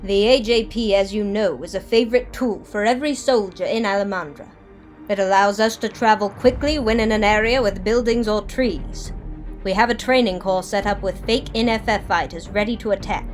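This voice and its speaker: A woman playing a brisk, composed army captain who instructs with authority in an audio drama. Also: medium pitch, soft background music, lightly acted. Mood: instructive.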